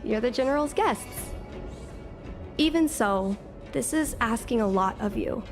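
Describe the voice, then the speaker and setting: A woman's voice: fairly high pitch, animated, measured pace. A woman voicing an earnest, wistful young girl in a video game, speaking articulately. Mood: polite, grateful. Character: earnest young girl, a pilot's daughter longing to fly. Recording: game music behind the voice.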